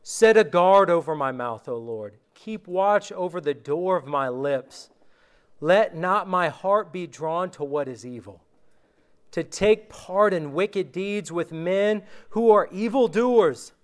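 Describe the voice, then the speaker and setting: A man preaching an informal sermon, reading scripture aloud slowly and conversationally, his voice worn from recent illness. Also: high voice, animated, clear, resonant tone. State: recovering from illness, voice going.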